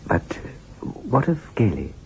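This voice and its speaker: An actor playing a genial, fawning middle-aged German photographer in a radio drama, chummy and ingratiating. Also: male voice, fairly low pitch, huge pitch swings, takes it slowly, gravelly, a little hiss or hum, full character voice, poor audio. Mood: confiding.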